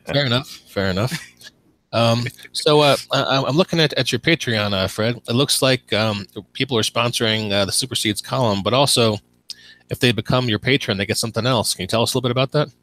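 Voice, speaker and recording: A man's voice: mid-range voice, a little fast, no room sound, low-quality recording. Male podcast host, laid-back and hesitant, mid voice, dry close recording. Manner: relaxed, hesitant with fillers. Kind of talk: casual chat.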